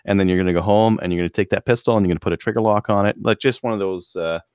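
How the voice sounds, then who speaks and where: A man's voice: on the low side, fairly even intonation, rapid-fire, a little mellow, clear, resonant tone, dry recording, studio quality. A man with a Canadian accent co-hosting a casual podcast discussion, explaining things in a rambling, joking way. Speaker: podcast co-host.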